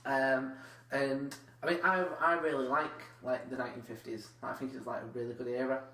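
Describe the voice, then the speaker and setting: A man's voice: medium pitch, little pitch movement, moderate pace, pushed and bright. A young man hosting a casual comedy podcast, rambling and hedging with lots of "like"s. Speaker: podcast host. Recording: roomy echo with faint hum.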